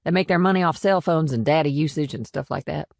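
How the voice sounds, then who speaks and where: A man's voice: fairly high pitch, quick pace, vocal fry, dry recording, pitched above the performer's own voice. A man voicing a firm, plainspoken small-town policewoman who defends her townsfolk in audiobook dialogue. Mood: matter-of-fact.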